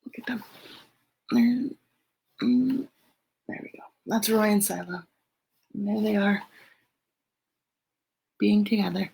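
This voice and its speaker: A woman reading a picture book aloud to children in an expressive storytelling way, with casual, chatty asides. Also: medium pitch, slow, vocal fry, pauses often, a little hiss or hum, rough recording.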